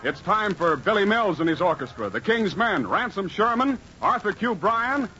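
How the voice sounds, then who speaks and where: A man's voice: sits high, big pitch swings, normal speed. A man announcing a show introduction in 1940s radio style. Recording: dull old radio broadcast, loud music behind.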